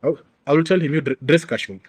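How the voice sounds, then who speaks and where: A man's voice: fairly high pitch, quick pace, breathy edge, dry recording. Kenyan man, dry close sound, explaining assertively. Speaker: interview guest, security and bodyguard expert. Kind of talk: explanatory interview talk.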